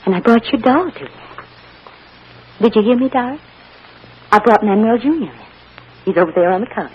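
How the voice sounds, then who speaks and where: A woman's voice: fairly high pitch, lively intonation, a little fast, lightly acted. An actress playing a tender, distraught mother coaxing her child in an emotional old-time radio soap style. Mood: gentle, reassuring. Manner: emotional, coaxing, hushed endearments. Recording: muffled, noisy old radio drama recording.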